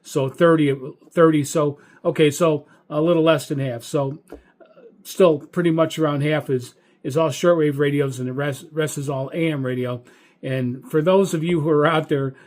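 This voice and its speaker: Halting, folksy American radio hobbyist man. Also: fairly high pitch, a little mellow. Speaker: amateur radio hobbyist and channel host. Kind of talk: casual video update monologue.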